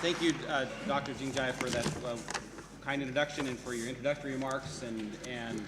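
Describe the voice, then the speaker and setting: A man, a professor on a panel, giving polite opening remarks with many filler pauses. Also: mid-range voice, steady tone, big-room sound, over a noisy crowd, very noisy. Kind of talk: conference talk. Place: large conference hall.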